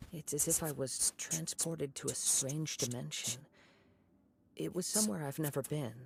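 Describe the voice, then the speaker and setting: A young man voicing a gentle wandering samurai in a video game, with a calm, composed and reflective way of talking. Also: mid-range voice, gravelly. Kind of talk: game voice acting. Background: game music.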